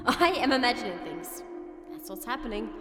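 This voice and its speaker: A despairing young princess, played by a woman, fairly high and fretful, doubting herself in a fantasy radio drama. Her words echo over background music. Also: expressive, normal speed, natural voice. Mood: hopeless. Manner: defeated, fretful, self-deprecating. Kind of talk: audio drama acting. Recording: echoey hall, music underneath.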